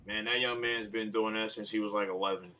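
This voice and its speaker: An American man talks as a panelist on a casual sports show. His voice is on the low side but sharp and forceful. He is emphatic, stacking repeated phrases to stress each point. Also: steady tone, a little fast, slight background noise, poor audio.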